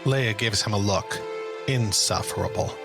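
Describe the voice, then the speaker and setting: A man's voice: lowish voice, unhurried, vocal fry. A man delivering dramatic, scripted present-tense narration for an audio drama. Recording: narration under loud music.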